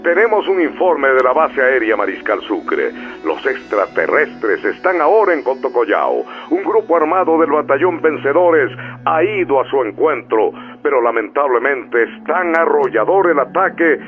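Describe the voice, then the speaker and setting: Over a tinny, narrow radio signal with music behind, a man acts as a grave newsman in a radio drama. He declaims an urgent invasion bulletin solemnly, his pitch swinging dramatically. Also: big pitch swings, normal speed, close to an everyday voice.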